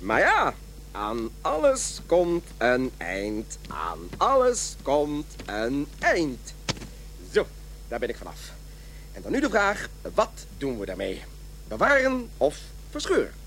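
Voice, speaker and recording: An actor playing a desperate, self-deluding middle-aged smoker in a radio drama, talking in an earnest, halting, theatrical way. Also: male voice, a little high, wildly swooping pitch, fairly bright tone, a little hiss or hum, full character voice. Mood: melodramatic.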